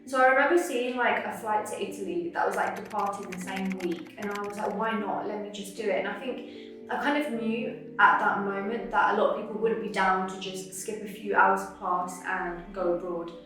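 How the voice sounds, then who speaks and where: A woman's voice: medium pitch, moderate pace, a bit bright, faint music behind. A woman in her late twenties from England with an English accent, chatting casually in an interview. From Cambridge, England. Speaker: interviewee, travel content creator. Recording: very echoey room, distant microphone.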